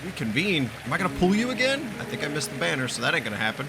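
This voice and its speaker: A man's voice: a little high, big pitch swings, rapid-fire, creaky, heavy background noise. A man doing playful let's-play commentary, joking and self-deprecating. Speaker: gaming streamer. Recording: game music behind the voice.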